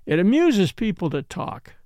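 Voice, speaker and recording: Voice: mid-range voice, big pitch swings, measured pace, mellow, no echo, studio quality. A reader voicing a suave, shrewd French count in an audiobook story, languid, polite and ironic. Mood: languid, dismissive.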